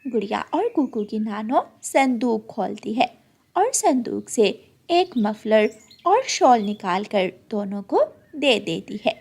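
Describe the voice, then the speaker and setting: A woman's voice: a little high, big pitch swings, slow pace, gravelly, darkish tone, breathy edge. A woman narrating a scripted children's story as the storyteller.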